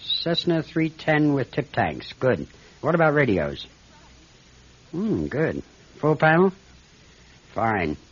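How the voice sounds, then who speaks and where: A man's voice: fairly high pitch, big pitch swings, slow, pauses often, slightly rough, heavily acted. A man playing a troubled, determined airline captain in an old-time radio drama, speaking in terse, commanding bursts. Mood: businesslike. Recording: noisy muffled radio drama recording.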